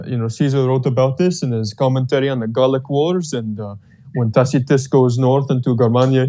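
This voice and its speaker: A man's voice: mid-range voice, warm tone. Man with an Albanian accent. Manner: hesitant, many "uh" pauses, lecturing on history. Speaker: participant. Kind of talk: casual online discussion. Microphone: online audio chat.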